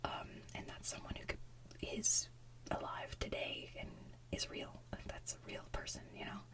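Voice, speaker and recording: A woman hosting ASMR, chatting casually in a soft whisper like an enthusiastic fan who rambles as she goes. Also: moderate pace, distant mic. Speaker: ASMR video host.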